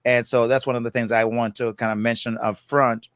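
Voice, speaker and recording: A reflective Jamaican man in his sixties, talking as a guest in easygoing conversation. His voice sits at an ordinary middle pitch and is recorded close and dry, with almost no room sound. He keeps checking in with "you know" and "right" as he thinks aloud. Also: little pitch movement, brisk, dry recording. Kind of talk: casual conversation.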